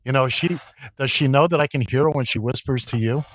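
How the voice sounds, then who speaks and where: A man's voice: mid-range voice, brisk, rough recording. A man co-hosting a casual radio show, trading jokes and teasing his co-host. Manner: teasing, joking.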